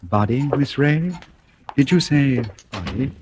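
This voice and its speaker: An actor in a radio thriller playing a suave, cunning gentleman, coolly menacing, with measured, formal, ironically polite speech. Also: mid-range voice, animated, close to an everyday voice. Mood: mock politeness. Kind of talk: 1940s radio thriller acting. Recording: noisy old radio drama recording.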